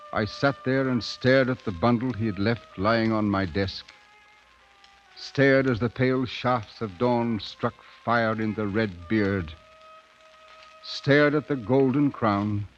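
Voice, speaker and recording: An actor playing a sober gentleman writer in a 1950s radio drama, grave and measured. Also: on the low side. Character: sober, concerned gentleman writer recounting a strange tale. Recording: muffled 1950s radio broadcast.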